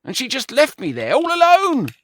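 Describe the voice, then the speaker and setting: A man's voice: very high-pitched, wildly swooping pitch, moderate pace, fairly bright tone, no echo, voice pushed up, full character voice. A man voicing a lecherous, slovenly male pig who boasts, dismisses and wails. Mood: wailing.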